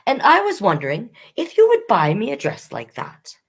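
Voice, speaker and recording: A woman's voice: on the high side, wildly swooping pitch, normal speed, a little hiss or hum, voice pushed up. A woman voicing an earnest, hopeful young child who pleads sincerely in a picture book.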